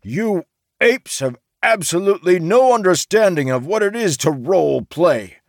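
A man's voice: mid-range voice, very animated, unhurried, no room sound, clean studio sound, voice pushed up. A man voicing a pompous, easily outraged male merchant in an audiobook, with theatrical, grandiose speech. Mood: fuming. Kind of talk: audiobook character acting.

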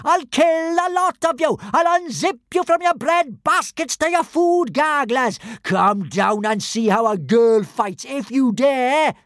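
A hot-tempered young Viking girl , played by a man reading a storybook, shrieks challenges at the top of her voice. Her voice sits very high and loud above the narration, shrill and fierce. It is close and dry. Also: expressive, a little slow, few pauses, dry recording, full character voice. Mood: furious. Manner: shrill, furious threats.

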